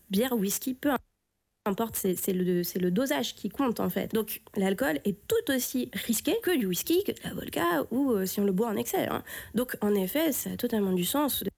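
A middle-aged woman answers as an interviewed practitioner. Her voice has a gravelly creak at a medium pitch. She explains spontaneously, repeating and rewording to make her point. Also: darkish tone. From France. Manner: conversational, repeats and rephrases to stress points. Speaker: health practitioner being interviewed.

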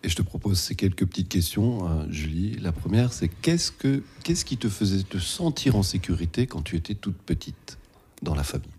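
A man interviewing a young woman, asking gentle, measured personal questions. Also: low-pitched, animated, a little hiss or hum.

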